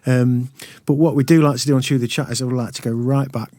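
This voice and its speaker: A man hosts a relaxed podcast interview, drawing his guest out with friendly questions. His voice sits in a middle range. The sound is dry and close. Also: medium pitch, quick pace, no echo. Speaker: podcast host. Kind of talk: casual interview.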